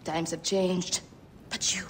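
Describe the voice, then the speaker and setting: Slow-speaking caring village healer. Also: female voice, fairly low pitch, little pitch movement, slight background noise. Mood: tender, brisk. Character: caring, no-nonsense village healer, old family friend. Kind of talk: video game dramatic acting.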